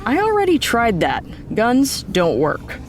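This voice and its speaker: A woman's voice: on the high side, wildly swooping pitch, takes it slowly, noisy background, heavily acted. A woman playing a tough, vengeful, guarded hunter in an audio drama. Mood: exasperated. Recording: music playing behind the voice.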